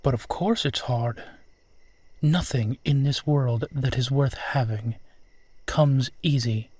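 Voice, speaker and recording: A courtly immortal vampire, played by a man, speaks very slowly in a mid-pitched voice. He is gentle and wistful, with formal, tender phrasing made for an intimate audio roleplay. The sound is close and dry. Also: husky, no room sound. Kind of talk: intimate scripted roleplay monologue addressed to listener.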